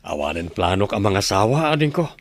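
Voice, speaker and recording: A man's voice: fairly low pitch, very animated, a little fast, dry recording. An actor with an Ilocano accent playing a boastful but devoted single father and elder brother in a radio drama. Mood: breezy, teasing.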